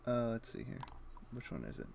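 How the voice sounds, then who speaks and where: A man's voice: medium pitch, sing-song, a little fast, vocal fry, darkish tone, slight background noise. A man casually thinking aloud in short, muttered fragments as he streams his gameplay. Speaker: game streamer.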